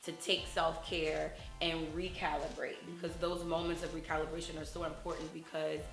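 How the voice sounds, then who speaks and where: A woman co-hosting a casual talk show, with a fairly low voice. She speaks in a reflective, encouraging way. Her voice echoes in a roomy space, with faint music underneath. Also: flat delivery, moderate pace, creaky. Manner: reflective, encouraging, self-care advice tone. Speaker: podcast co-host. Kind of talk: casual chat.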